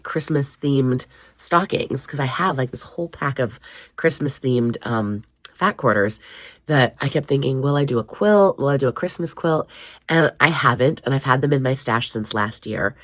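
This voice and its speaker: Croaky, congested woman vlogger. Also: very deep voice, dry recording. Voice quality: scratchy, croaky. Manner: chatty, rambling, with frequent ums and small laughs. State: hoarse, congested from a head cold. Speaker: crafting vlogger. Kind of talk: casual chat to camera. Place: home basement craft room.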